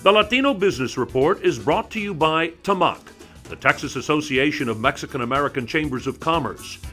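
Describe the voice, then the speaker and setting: A man with a bright, forceful voice reads a scripted podcast intro in a lively, sweeping announcer style, with music playing faintly under him. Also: on the high side, fairly quick. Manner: polished, lively announcer delivery.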